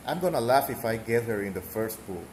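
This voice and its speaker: A man's voice: mid-range voice, animated, normal speed, noisy background. A man from Mexico with a thick Mexican accent, rambling through casual game commentary with fillers and the odd cough. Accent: Mexican Spanish. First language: Spanish. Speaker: gaming streamer.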